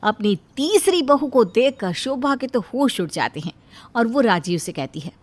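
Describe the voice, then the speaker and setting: A woman's voice: fairly high pitch, sing-song, moderate pace. A woman narrator reading a scripted cartoon tale in storytelling style.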